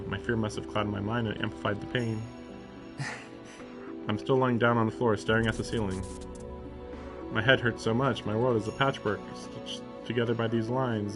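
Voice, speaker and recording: A man live-streaming a visual novel, reading it aloud in a mock-dramatic way and breaking off into sarcastic, sometimes profane asides. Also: mid-range voice. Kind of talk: live commentary mixed with dramatic read-aloud.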